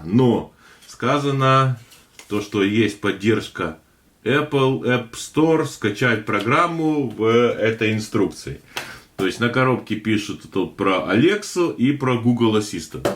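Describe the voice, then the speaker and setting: Chatty slightly hoarse man, low voice. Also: fairly bright tone, slight background noise. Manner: chatty, hesitant with many fillers. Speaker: tech video host. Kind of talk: casual unboxing narration.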